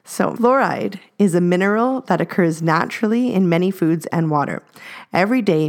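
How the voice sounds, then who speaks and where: A woman in her forties co-hosts a parenting podcast, her voice sitting on the lower side. She reads a definition aloud steadily and carefully. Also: a little mellow. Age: 40s. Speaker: podcast co-host.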